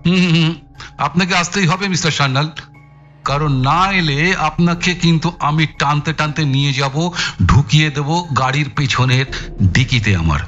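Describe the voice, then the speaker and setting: An actor playing a brusque, menacing, sarcastic police inspector in an audio drama, needling with emphatic, taunting politeness. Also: male voice, a little high, moderate pace, fairly bright tone, dull audio, faint music behind, lightly acted. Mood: stern, threatening.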